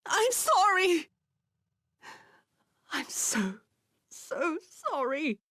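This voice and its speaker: A woman playing a frightened, childlike, remorseful woman in a video game, crying as she speaks in halting, trembling phrases. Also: very high voice, big pitch swings, bright, forceful tone, no echo, studio quality, full character voice. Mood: tearful remorse. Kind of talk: dramatic game acting.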